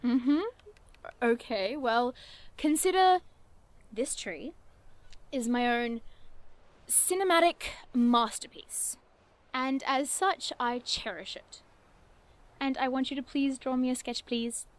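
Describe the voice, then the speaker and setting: A woman's voice: high-pitched, expressive, slow pace, pauses often, no room sound. A woman playing a curious, bookish cryptid investigator in an audio drama, earnest and wordy with dry playfulness. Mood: playfully insistent. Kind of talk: audio drama acting, naturalistic dialogue.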